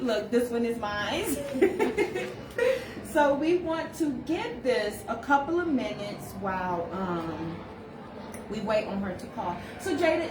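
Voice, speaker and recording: A woman hosts a live call-out show, her voice sitting a little high and her talk chatty and giggly. She sounds set back from the mic in a reverberant room. A steady noise runs underneath. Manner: giggly, chatty, self-teasing. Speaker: host. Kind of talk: casual live call-out chat. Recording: echoey room, mic far off, noisy.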